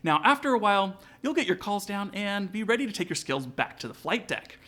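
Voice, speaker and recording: A man with a fairly high, animated voice presents a scripted tutorial. He talks casually and directly to his audience, like a vlog host, with plenty of melodic movement in his delivery. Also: brisk. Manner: casual, direct address to viewers. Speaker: aviation vlog host, student pilot. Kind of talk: upbeat scripted tutorial narration with sponsor read.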